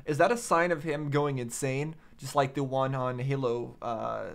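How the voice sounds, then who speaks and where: A man's voice: mid-range voice, fairly even intonation, moderate pace, creaky. A man hosting a game reaction channel, thinking aloud in casual commentary and repeating phrases as he reasons.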